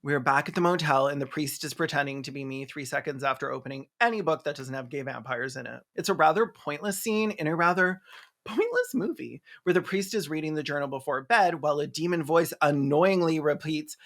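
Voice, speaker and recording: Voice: mid-range voice, animated, brisk, fairly bright tone. A video host delivering sarcastic scripted commentary in a theatrical, mocking manner. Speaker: host of a comedic film review.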